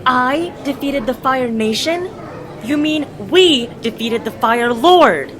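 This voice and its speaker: A woman playing an earnest, idealistic young boy hero in an animated comic dub. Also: high-pitched, slow, heavily acted. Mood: disbelieving, insistent.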